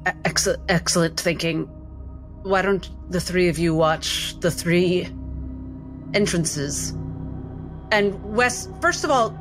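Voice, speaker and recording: A woman playing a tabletop roleplaying game, describing and acting her character in measured, theatrical phrasing. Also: on the low side. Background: background music.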